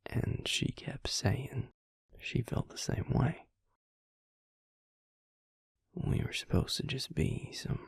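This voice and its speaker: A man playing a tender, regretful male vampire in an intimate audio roleplay, confiding haltingly in a soft, breathy, gravelly whisper. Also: low-pitched, no room sound, studio quality, exaggerated voice.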